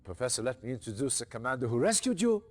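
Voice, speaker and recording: A man's voice: mid-range voice, very animated, fast, faint music behind, lightly acted. A man playing a stern briefing officer. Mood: formal. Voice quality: crisp, authoritative. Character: stern male military officer briefing a commander. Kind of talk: game mission briefing.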